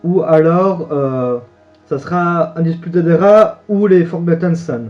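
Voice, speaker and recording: A man's voice: a little high, measured pace, a little mellow, highs cut off, faint music behind. A young man rambling through wrestling predictions in casual solo commentary, full of hesitations and self-corrections. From French-speaking. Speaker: YouTuber giving wrestling predictions.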